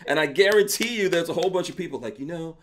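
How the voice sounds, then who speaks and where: Lively rambling man hosting a stream. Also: on the high side, fast talker, a little hiss or hum. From Guyana. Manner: loose, rambling, laughs often. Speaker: host. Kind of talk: casual livestream chat. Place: home studio with sticker wall. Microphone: headphones with desk mic.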